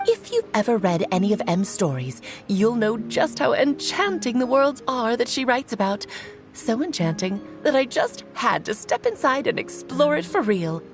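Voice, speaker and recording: A woman voicing a playful, chatty, self-assured goddess in a video game, breezy and theatrical. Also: a little high.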